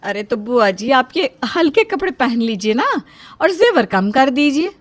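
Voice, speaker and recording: A woman's voice: high-pitched, sing-song, unhurried, heavily acted. A woman voicing a bossy, worried middle-aged mother who scolds and pleads in a cartoon story. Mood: exasperated.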